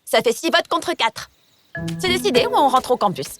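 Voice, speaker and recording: Bossy, competitive cartoon girl; high, swooping, exclamatory delivery. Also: moderate pace, music in the background. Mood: bossy, concerned. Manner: animated, exclamatory. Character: lively, competitive young girl taking charge. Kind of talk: children's cartoon dubbing.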